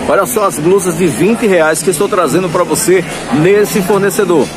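A man filming a sales vlog through a busy clothing market, pitching goods in upbeat patter and repeating prices. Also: moderate pace, over music. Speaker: clothing wholesale vlogger. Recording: noisy crowded market. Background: crowd chatter.

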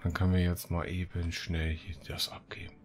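Sehr tiefe Männerstimme beim Let's Play. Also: male voice, deep voice, completely flat delivery, normal speed. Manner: joking, muttering to himself. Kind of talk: casual gaming commentary with read-out quests. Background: game music.

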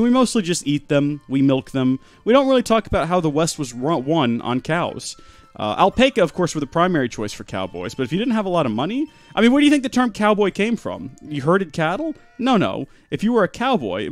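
Animated rambling man streaming a game. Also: fairly high pitch, no echo. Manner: rambling deadpan joking, animated. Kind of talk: live gameplay commentary.

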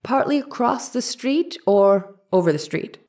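A woman's voice: on the high side, lively intonation, a little slow, a little mellow. A woman reading the part of a persistent, exacting defense lawyer in a dramatized 1890s trial, questioning formally and methodically.

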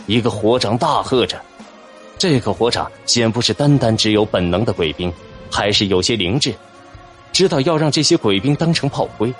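Male storyteller, low and lively, dramatic fantasy audiobook read over music. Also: normal speed. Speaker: audiobook narrator. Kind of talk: scripted dramatic narration of a fantasy novel. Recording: background music under narration.

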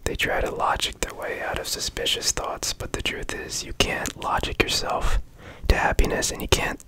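A man guiding a meditation in ASMR style, in a soft breathy whisper with gentle, soothing instruction, close to the microphone. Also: moderate pace. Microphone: close studio microphone.

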